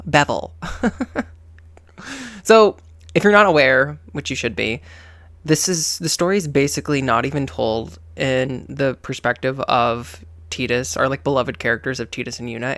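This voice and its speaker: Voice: medium pitch, fairly bright tone, no room sound. A host giving casual reaction commentary in a campy, sassy way, with slangy exclamations and repetition for emphasis. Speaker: host of a gaming reaction channel.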